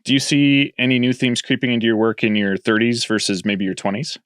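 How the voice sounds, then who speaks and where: A man's voice: medium pitch, flat delivery, fairly quick, a bit bright, clear, resonant tone, dry recording, clean studio sound. A man in his late thirties hosting a podcast, asking long, winding questions full of restarts. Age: 30s. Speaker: podcast host. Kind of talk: casual podcast interview.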